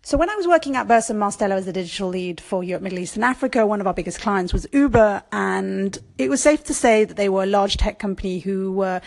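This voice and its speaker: A woman's voice: medium pitch, animated, fast talker, gravelly, hardly any pauses, a little mellow. Brief: woman podcast host, solo monologue, conversational and thoughtful, lots of ums, corrects herself mid-sentence. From born in France, raised in Brussels.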